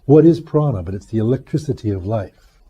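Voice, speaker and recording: Very dark, mellow male meditation teacher. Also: on the low side, animated, breathy edge, muffled recording. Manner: measured, deliberate, with pauses between short phrases. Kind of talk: guided meditation instruction.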